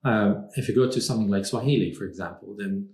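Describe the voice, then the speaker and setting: A man originally from Morocco, speaking as a podcast guest in a measured, thoughtful way with frequent pauses. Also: lowish voice, a little mellow, echoey room. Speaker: podcast guest, strategy consultant. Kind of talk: conversational interview.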